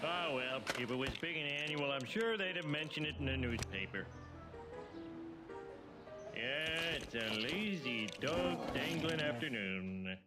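A dim, impulsive cartoon husband in middle age, with a dopey, rounded voice that sits high and swings wildly. He takes his time, talks to himself and bursts into whiny exclamations. Loud music plays underneath. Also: lively intonation, unhurried. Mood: puzzled, casual. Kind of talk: animated cartoon acting.

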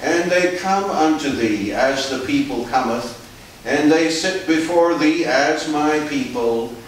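A man giving a formal, solemn, measured scripture reading as a priest in a church. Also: mid-range voice. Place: small church, standing at lectern. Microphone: distant camera microphone. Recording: echoey church, distant mic, faint hiss.

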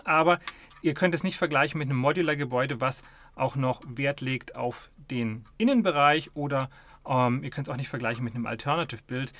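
Higher-voiced man reviewing a model. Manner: explains calmly while handling and turning a model. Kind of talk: casual hands-on review.

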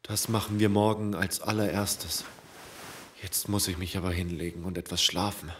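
Weary male survivor, played by an actor, cautious, lowish pitch, radio play with faint ambience. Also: little pitch movement, moderate pace, a bit bright, slight background noise. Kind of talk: German radio play acting.

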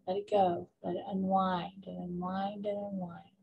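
A woman with a mid-pitched voice leads a guided meditation like a spiritual coach. She gives instructions deliberately, in short repeated phrases, leaving space between them as she moves through affirmations. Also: flat delivery, creaky, muffled recording.

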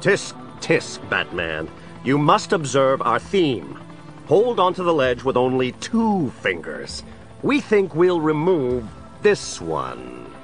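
A man's voice: mid-range voice, wildly swooping pitch, slow pace, soft background music. An actor playing a menacing, theatrical crime boss with a split personality in an audio drama, gloating as he talks. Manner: theatrical, gloating, speaks of himself as "we".

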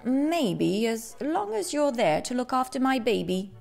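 A woman voicing a fussy, soft-hearted male hairdresser and doting father in a storybook, fretful and gushing. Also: a little high, sing-song, measured pace, gravelly, voice pushed up, heavily acted. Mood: hesitant.

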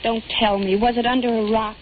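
A woman's voice: fairly high pitch, flat delivery, a little fast, close to an everyday voice. An actress playing a fed-up young soda fountain girl in a 1940s radio drama, wry, sarcastic and defiant. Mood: sardonic. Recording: tinny, noisy old radio recording.

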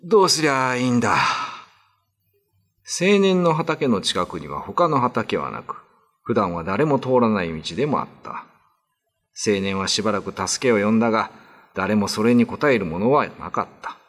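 A man's voice: lowish voice, sing-song, moderate pace, fairly bright tone. A man giving scripted, solemn narration of an old ghost story.

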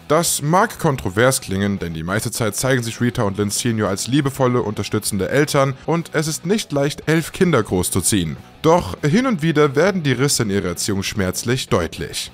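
An animated man reads a scripted countdown as the host. His mid-pitched voice moves up and down a lot, with faint music behind it. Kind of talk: scripted narration of a top list.

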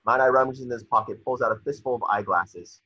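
A man with a husky voice reading out stage directions as scripted narration at a table read on a video call. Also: mid-range voice, fast, sharp tone, dry recording. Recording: muffled, dry video call audio.

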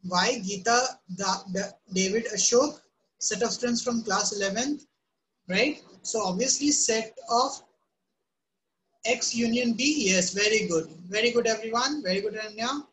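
A woman's voice: fairly high pitch, a little slow, fairly bright tone, poor audio. A woman teaching a live online math class, often asking "right?" and "okay?" to check on students. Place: home room.

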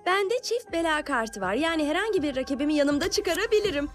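A woman's voice: high voice, lively intonation, fast talker, soft background music. A woman voicing a wry big-sister teenage monster girl in a cartoon dub. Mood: casual. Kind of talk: animated cartoon dubbing.

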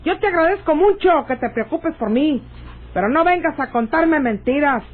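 A woman's voice: high voice, lively intonation, moderate pace. An actress playing a stubborn, mocking rural woman in love, with a Mexican accent and a theatrical, scolding radionovela delivery. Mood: scolding, annoyed. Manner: scolding, scoffing, theatrical, folksy. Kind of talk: radionovela acting. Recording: dull lo-fi old radio drama recording.

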